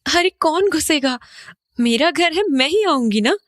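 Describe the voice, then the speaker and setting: An actress as a high-pitched, expressive uneasy mother. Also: unhurried, no room sound, clean studio sound. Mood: surprised, questioning. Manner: questioning, expressive. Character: uneasy young mother back in childhood home. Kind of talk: Hindi horror audio drama acting.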